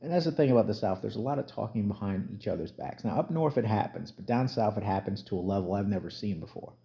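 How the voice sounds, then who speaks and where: Middle-aged New man, low voice, blunt vlog commentary; dull lo-fi sound. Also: animated, quick pace, vocal fry. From New Jersey, USA. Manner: blunt, conversational, emphatic rants with plain talk. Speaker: YouTube commentator. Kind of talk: casual spoken commentary vlog.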